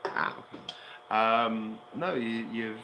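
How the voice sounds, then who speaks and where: A man co-hosting a casual livestream chat outdoors, blunt and quick to swear. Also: lowish voice, noisy background. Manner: blunt, swearing, laughing asides. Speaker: co-host, island owner. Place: outdoors on an island, sitting on cardboard.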